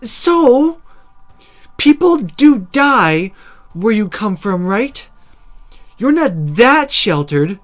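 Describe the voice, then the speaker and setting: Man putting on a high, scornful young woman. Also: big pitch swings, very slow, pauses often, soft background music, full character voice. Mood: blunt. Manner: dismissive, challenging. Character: blunt, scornful young female apprentice mage.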